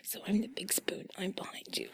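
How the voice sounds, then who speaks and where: A woman speaking in a soft, breathy whisper, intimate and playful, as in a bedtime ASMR roleplay with a partner. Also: brisk, no echo. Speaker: ASMR performer playing an affectionate partner.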